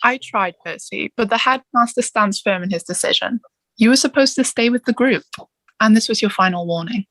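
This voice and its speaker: A woman playing an earnest male teacher and mentor, formal, measured and gently reproachful, in a theatrical table read. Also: a little high, no room sound, poor audio. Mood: regretful, firm.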